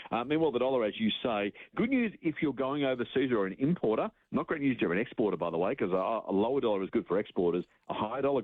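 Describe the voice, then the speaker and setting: A man's voice: mid-range voice, dry recording. A man with an Australian accent giving informal, explanatory finance commentary as a radio guest, using examples and asides. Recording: narrow tinny phone-like line.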